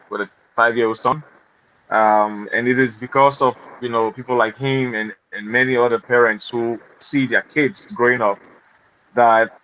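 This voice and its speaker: A man from Liberia, a guest, talking earnestly about his work and family with many fillers. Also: medium pitch. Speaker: guest, NGO executive. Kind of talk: conversational interview. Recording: slightly dull, faint noise.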